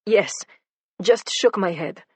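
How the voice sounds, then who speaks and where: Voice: medium pitch, slow, breathy edge, no echo, voice pushed up. A narrator voicing a fearful but determined Bosnian woman witness with a heavy Bosnian accent. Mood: earnest, anxious.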